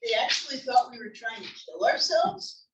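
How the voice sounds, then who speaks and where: A no-nonsense old wife, read by a woman at a comedy table read, dry and impatient with her husband. Her voice is high and carries from a distance in an echoey room. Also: animated, moderate pace, fairly bright tone. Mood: weary.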